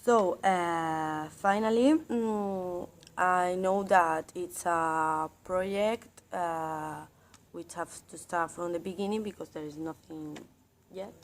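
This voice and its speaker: A woman's voice: on the low side, takes it slowly, slight background noise. A woman in her thirties in a classroom role-play, speaking hesitantly with many fillers and restarts. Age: 30s.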